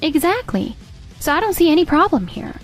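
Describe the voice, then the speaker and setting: Manga dub role: firm, pointed office leader woman performer, fairly high voice, composed authority, soft music behind. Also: very animated, fairly quick. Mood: firm, challenging. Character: composed, firm female team leader defending her husband. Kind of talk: scripted manga dub acting.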